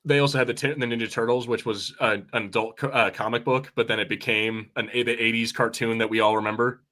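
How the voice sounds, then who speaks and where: A man co-hosting a podcast, chatting informally in a halting way with slang and swearing. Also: mid-range voice, fairly even intonation, sharp tone. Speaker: podcast co-host. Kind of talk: casual film chat on a watch party.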